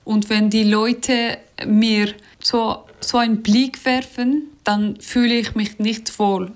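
A woman's voice: on the high side, level tone, takes it slowly. A woman speaking German as a second language, answering interview questions hesitantly with many fillers and restarts. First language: not German.

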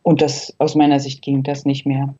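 Female interviewee, fifties, from Berlin. Warm, relaxed timbre, reflective recollection, somewhat dull sound. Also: rumbling low, moderate pace, husky. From Berlin, East Germany. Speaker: interview guest, eyewitness.

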